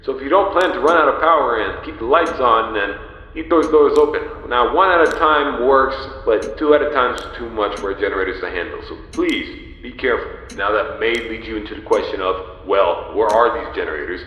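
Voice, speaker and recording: A man's voice: medium pitch, animated, fairly quick, pushed and bright. A man playing a pizzeria manager who briefs a new night guard in a polite, explanatory, scripted game phone message. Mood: instructive. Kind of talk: scripted instructional phone-call monologue. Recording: echoey with long reverb.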